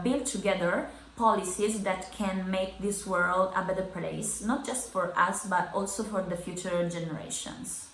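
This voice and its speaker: Young woman, earnest self-introduction, pauses to find words, echoey room. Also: medium pitch, slight background noise. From Sardinia, Italy. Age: young adult. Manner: earnest, searching for words at times. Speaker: youth delegate.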